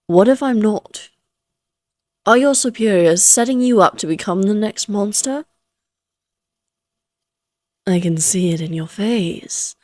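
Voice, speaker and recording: A woman's voice: fairly low pitch, dark tone, no echo. A woman playing a playful, flirtatious immortal vampire in a Victorian fantasy audio roleplay, teasing in genteel, archaic phrasing. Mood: sly, insinuating.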